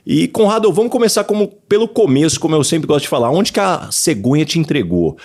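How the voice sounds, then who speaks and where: A man's voice: a little high, fairly quick. A man from Brazil hosting a career podcast, introducing his guest and asking questions in an upbeat way. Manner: lively, upbeat. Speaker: podcast host, headhunter.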